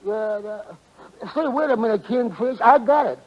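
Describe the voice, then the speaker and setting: An actor playing a flustered, slow-witted barber who stammers and tangles his sentences, in 1940s radio comedy style. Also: very high voice. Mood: eager, muddled. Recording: muffled vintage 1940s radio recording.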